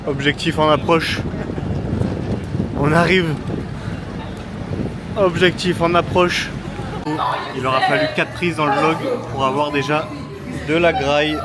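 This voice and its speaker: A man's voice: fairly high pitch, steady tone, slow, long pauses. A young man hosting a casual travel vlog, talking to a handheld camera in an upbeat, joking way as he walks through a hotel room, busy streets and shops. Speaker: vlogger host. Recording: loud music and crowd noise behind.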